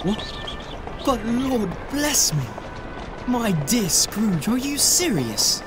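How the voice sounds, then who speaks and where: An actor playing an astonished, courteous charity gentleman, flustered and polite, in a theatrical audio drama. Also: very high voice, sing-song, slow, music in the background, full character voice.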